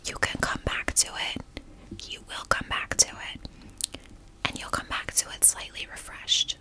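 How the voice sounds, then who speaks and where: A woman whispering a guided visualization for ASMR in a breathy, unvoiced whisper, gentle and soothing with a casual rambling manner. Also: moderate pace. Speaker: ASMR video host.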